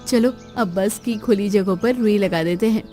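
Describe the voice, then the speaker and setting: A woman's voice: mid-range voice, normal speed, dark tone, faint music behind, natural voice. A woman voicing a resourceful, caring young daughter-in-law in a cartoon story. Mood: brisk, practical.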